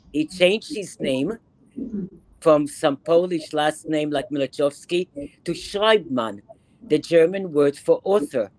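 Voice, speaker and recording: A woman in her eighties from Israel with a Bronx accent, lecturing a reading group over a video call in an animated, emphatic way, repeating phrases for effect. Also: low voice, dry recording, rough recording. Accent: Bronx New York. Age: 80s. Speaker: guest lecturer. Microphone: webcam on video call, close to the face.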